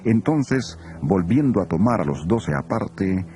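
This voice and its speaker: A man with a gravelly voice narrating scripture in a grave, storytelling delivery. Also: deep voice, very animated, takes it slowly, warm tone.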